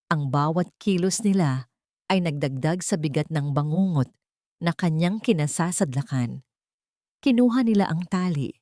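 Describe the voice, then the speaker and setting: A woman's voice: deep voice, warm tone, no room sound, clean studio sound. A woman narrator delivering dramatic scripted storytelling for an audio drama.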